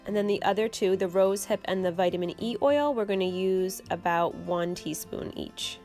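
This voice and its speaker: A young woman hosting her own channel, explaining a homemade recipe step by step in a relaxed, casual way from her kitchen. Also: medium pitch, normal speed, faint music behind. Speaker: channel host. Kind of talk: casual how-to vlog. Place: home kitchen.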